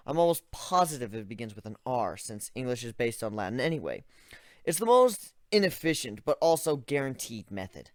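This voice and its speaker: A man performs a young griffin inventor in a dramatic storybook reading. He is methodical and analytical, with a weary, exasperated streak. The voice sits at a middle pitch in a very dry space. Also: very animated, a little fast, dry recording, lightly acted. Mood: focused, explaining. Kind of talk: dramatic reading of first-person fan fiction.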